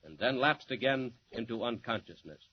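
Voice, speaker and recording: A man's voice: medium pitch, lively intonation, normal speed, muffled recording. A man announcing and narrating a 1940s radio serial in a dramatic, declamatory way. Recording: scratchy 1940s radio transcription.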